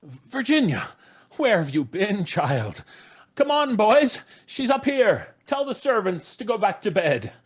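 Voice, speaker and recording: A husky, animated father. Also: sits high, slow, heavily acted. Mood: stern concern. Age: middle-aged. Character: concerned, authoritative father. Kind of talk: old-time radio drama acting.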